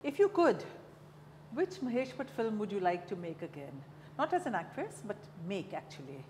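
Lively-voiced Indian woman interviewer. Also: mid-range voice, normal speed. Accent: Indian English. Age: middle-aged. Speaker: interviewer, journalist. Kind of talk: conversational interviewing. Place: indoor café-like room, seated at a wooden table. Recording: reverberant room with clear background noise.

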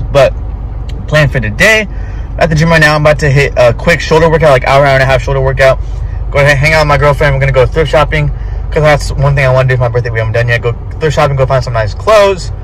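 Fast-talking slangy young man vlogging. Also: medium pitch, fairly bright tone, a little hiss or hum, poor audio. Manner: laid-back slangy, says bro a lot, swears casually. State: tired, feeling off. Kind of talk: casual vlog talk to camera. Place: inside a parked car. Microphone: phone held at arm's length.

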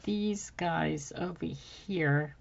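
A woman hosting a casual crafting video at her craft table, a bit hoarse, thinking aloud as she works with many ums. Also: sits low, drawn-out words, lo-fi sound. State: slightly hoarse from dry throat. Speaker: craft channel host. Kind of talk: casual crafting tutorial chat. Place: home craft table, indoors.

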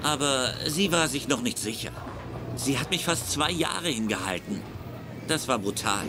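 A man acts a scheming, smug sitcom rival with a mid-pitched, expressive voice. He feigns sincerity while he taunts. Loud show sound plays behind him. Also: moderate pace, over music, lightly acted. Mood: mock sympathy. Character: smooth, sly male rival, mock-sympathetic schemer. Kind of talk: dubbed sitcom acting.

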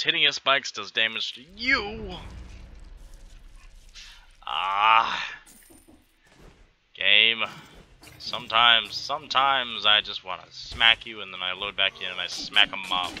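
Bright-voiced Texan man gaming. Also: lowish voice, expressive. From Texas. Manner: chatty, self-talking, quick exclamations. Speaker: gaming channel host. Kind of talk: casual gameplay commentary. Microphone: headset.

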